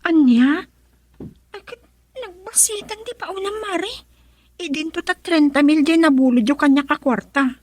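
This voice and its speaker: A woman acting an ambitious, brisk village friend who lends money in an Ilocano radio drama, speaking expressively and emphatically. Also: high-pitched, darkish tone. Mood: pressing, resigned.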